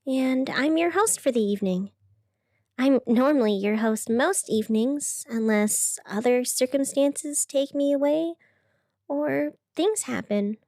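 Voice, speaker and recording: A woman's voice: sits high, no room sound, clean studio sound, full character voice. A woman playing a wistful, self-doubting night radio host in a scripted audio drama, speaking in an earnest, confiding way. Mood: gentle, welcoming.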